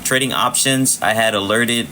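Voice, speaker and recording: A man's voice: medium pitch, moderate pace, a little hiss or hum, rough recording. A man hosting a live trading stream with casual chart commentary, chatty and repetitive, thanking viewers as he goes, his voice wearing out. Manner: chatty, shouting out viewers, repeats himself. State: losing his voice. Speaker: livestream trading host.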